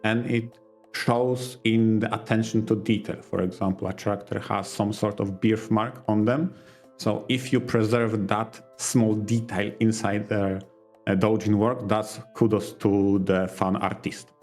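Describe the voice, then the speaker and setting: Lowish guy cohosting a podcast, explains stuff in a measured way, faint music under it. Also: level tone, a little mellow. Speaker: podcast co-host. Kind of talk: casual podcast discussion.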